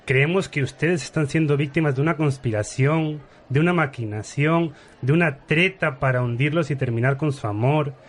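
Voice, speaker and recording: A man's voice: on the high side, moderate pace, few pauses, lightly acted. A man playing a pompous, gossipy viscount with formal, ornate speech in a parody radio soap opera. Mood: conspiratorial. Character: pompous, gossipy male viscount, government right-hand man.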